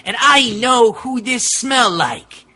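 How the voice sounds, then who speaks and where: Man doing a raspy, earnest wrestler. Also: extremely high pitch, animated, sharp tone, heavily acted. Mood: grave, confessing. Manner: melodramatic, halting broken English. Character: earnest dog-faced Mexican wrestler pleading in broken English.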